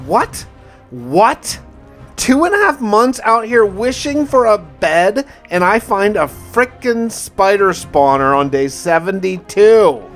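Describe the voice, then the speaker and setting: A man's voice: high-pitched, a bit bright. A man narrating a gaming video in a casual, conversational first-person style with a mildly wry manner. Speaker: gaming video host narrating a survival playthrough.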